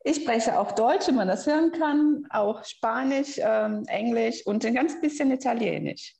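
A woman's voice: fairly high pitch, a little slow, creaky, dark tone, roomy sound, rough recording. A woman from Brazil with a Brazilian Portuguese accent, a podcast guest patiently explaining pronunciation. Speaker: guest researcher. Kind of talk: podcast interview.

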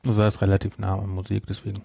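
A man's voice: lowish voice, monotone, racing, vocal fry, dark tone, slightly rough, no room sound. A middle-aged man co-hosting a podcast, chatting casually in a playful, teasing way with frequent interjections. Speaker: podcast co-host. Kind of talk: casual chat, recapping an audio drama.

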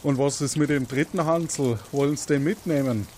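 A man voicing a grudging, folksy Bavarian guard in an audio mystery game, with a gruff manner and a Bavarian accent. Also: mid-range voice, brisk, a little mellow, a little hiss or hum, pitched above the performer's own voice, natural voice.

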